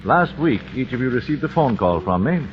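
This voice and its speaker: An actor playing a grieving wealthy father who speaks in a calm, formal, deliberate way, in 1940s radio drama style. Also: medium pitch, natural voice. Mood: measured. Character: grieving rich older father host, measured. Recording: muffled old radio broadcast with music.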